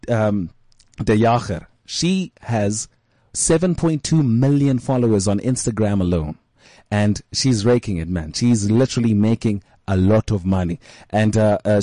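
A man's voice: mid-range voice, warm tone, no echo. A man hosting a casual interview show, rambling with many fillers and restarts. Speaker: show host.

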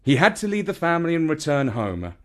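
Very animated male storyteller. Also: fairly high pitch, fairly quick. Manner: dramatic, expressive. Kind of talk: scripted children's story narration.

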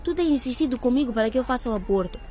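Radio drama: an actress as a teenage girl, high voice, earnest and firm. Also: animated, racing, dark tone, natural voice. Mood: accusing. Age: teens. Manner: earnest, apologetic, defiant. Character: Maria, earnest pregnant teen girl.

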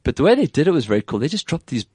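A man with an English South African accent hosting a casual tech chat, animated and quick to put on voices when quoting people. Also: fairly high pitch, husky, no echo. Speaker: podcast host.

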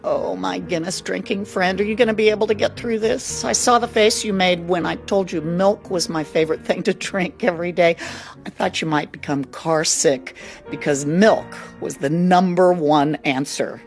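A woman's voice: on the low side, steady tone, normal speed, creaky, faint music behind. A woman hosting a radio show in a chatty style, addressing listeners and her co-presenter. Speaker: radio host.

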